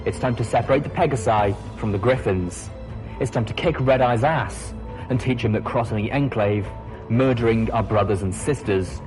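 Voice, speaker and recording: A man doing a booming pegasus colonel mare. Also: lightly acted, rough recording. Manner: booming, declamatory speech. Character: female pegasus officer, commanding and rousing. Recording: music playing underneath.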